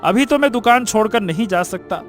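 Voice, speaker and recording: Man acting a very high-pitched, gossipy village shopkeeper. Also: a little fast. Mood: busy. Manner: excitable, repetitive. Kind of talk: scripted cartoon story acting. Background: music.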